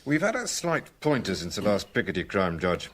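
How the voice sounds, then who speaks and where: An actor playing a methodical, respectful male police superintendent, giving formal, measured reports in a British radio crime drama. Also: deep voice, very animated, slight background noise. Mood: methodical, reporting.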